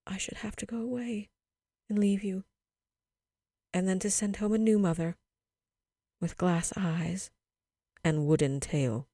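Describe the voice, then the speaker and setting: Woman reading as a tender, mellow-voiced storybook mother. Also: mid-range voice, animated, moderate pace, no room sound, studio quality, natural voice. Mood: sad. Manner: gentle, affectionate, earnest. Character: tender, sorrowful young mother of small children.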